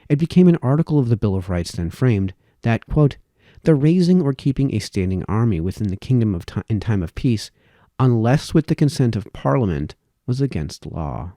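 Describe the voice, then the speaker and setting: Low, expressive male reader. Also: fairly quick, no room sound, studio quality. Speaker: reader of historical essays. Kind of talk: reading formal prose aloud.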